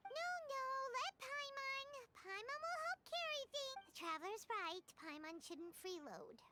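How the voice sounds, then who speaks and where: A woman voicing a small, cheerful, eager childlike companion in a video game, with a squeaky voice, referring to herself in the third person. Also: lively intonation, measured pace, heavy background noise. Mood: earnest.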